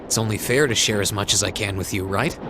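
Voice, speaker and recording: An actor playing an earnest, gentle young student in a video game, speaking hesitantly and sincerely. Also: male voice, fairly low pitch, quick pace, noisy background, lightly acted. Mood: sincere, reassuring. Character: earnest, gentle young male student of adopted noble birth. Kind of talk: video game cutscene dialogue acting.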